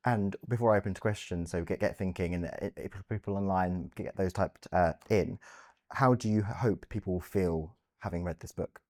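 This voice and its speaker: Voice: sits low, moderate pace, darkish tone, no echo, studio quality. A host moderating a live talk, friendly and organised, sometimes tumbling over the words. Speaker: event host.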